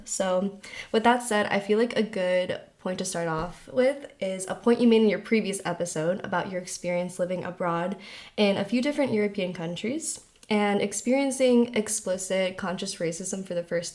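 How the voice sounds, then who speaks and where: A woman's voice: medium pitch, moderate pace, a little mellow. Chatty woman podcast host. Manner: friendly, chatty, uses "like" often. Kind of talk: casual podcast chat.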